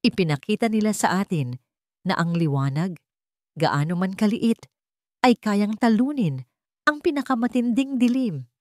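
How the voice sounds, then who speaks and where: A woman's voice: mid-range voice, lively intonation, unhurried, pauses often, a little mellow, dry recording, studio quality, pitched above the performer's own voice, lightly acted. A woman voicing a stern, solemn king in a dramatic story, speaking with cold, grave authority. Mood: solemn, reflective. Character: stern, solemn male king passing judgment.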